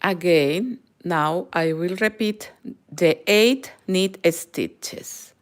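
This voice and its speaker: A woman's voice: lowish voice, drawn-out words. A middle-aged Peruvian woman with a Spanish accent, a native Spanish speaker, giving a live crochet tutorial in careful non-native English that often drops to a near whisper. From Peru. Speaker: crochet channel host.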